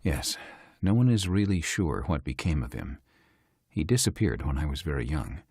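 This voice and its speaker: A man playing a wistful, evasive older uncle with a husky voice in an amateur audio drama. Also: rumbling low, very animated, normal speed, a little mellow, dry recording, clean studio sound, exaggerated voice. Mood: evasive, grave.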